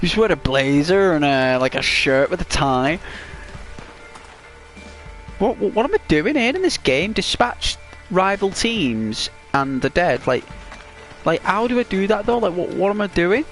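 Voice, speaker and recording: A man's voice: high voice, sing-song, measured pace, fairly bright tone, faint music behind. A man with a British accent chatting casually while playing a video game, rambling with lots of "like" and restarts. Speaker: gaming channel host.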